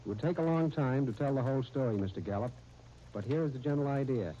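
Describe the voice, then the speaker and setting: A man's voice: mid-range voice, fast, dark tone. American man, 1930s radio interviewee, stiff, scripted, dull faintly noisy recording. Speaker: insurance agent guest.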